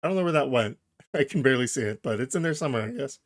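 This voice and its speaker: A man hosting a software tutorial, explaining each step informally and thinking aloud as he goes. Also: medium pitch, expressive, rapid-fire. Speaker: tutorial host. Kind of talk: casual software tutorial narration.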